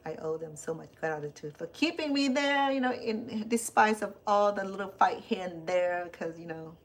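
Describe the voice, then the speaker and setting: A woman's voice: mid-range voice, animated, moderate pace. A woman in her fifties from Cambodia, speaking English with a Cambodian accent, chatting casually at home about her plants and her life, often repeating words as she goes. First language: Khmer. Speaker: chef and home cook. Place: indoors at home. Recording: faint background hiss.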